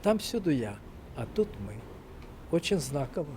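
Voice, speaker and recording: A man giving a slow, thoughtful interview comment. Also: on the high side, big pitch swings, darkish tone. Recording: faint background noise.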